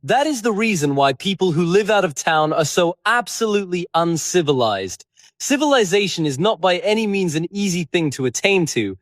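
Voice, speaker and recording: A man voicing a witty, cynical upper-class gentleman with a languid, flippant manner for an audiobook. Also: high voice, sing-song, normal speed, no echo, clean studio sound, pitched above the performer's own voice. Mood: amused, cynical. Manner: languid, flippant, epigram-making. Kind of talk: audiobook character voice.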